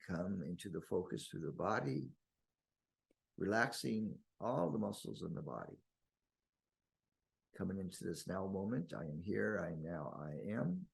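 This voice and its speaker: A man leading a guided meditation in slow, deliberate phrases with long pauses and a husky, gravelly voice. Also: deep voice, lively intonation, a little mellow.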